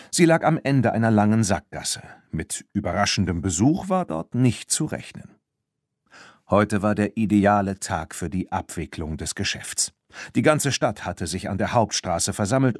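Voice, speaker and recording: A man narrating a children's audiobook in an expressive, scripted storytelling style. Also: fairly low pitch, no room sound, studio quality.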